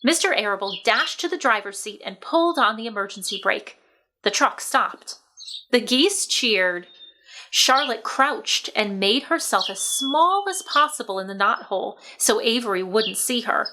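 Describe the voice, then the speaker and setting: A woman narrating a children's book aloud in an expressive, scripted storybook style. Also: on the high side, unhurried.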